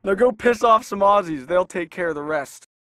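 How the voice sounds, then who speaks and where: Game role: cocky skater ringleader, high, taunting, noisy outdoor backdrop. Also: male voice, animated, normal speed. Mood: scornful, scheming. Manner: brash, mocking. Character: cocky, bullying young American skater ringleader. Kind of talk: video game cutscene acting. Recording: clear street and traffic noise.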